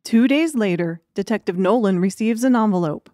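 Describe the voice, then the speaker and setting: A woman's voice: mid-range voice, moderate pace, dark tone, slight background noise. A woman reading scripted story narration as an audiobook narrator.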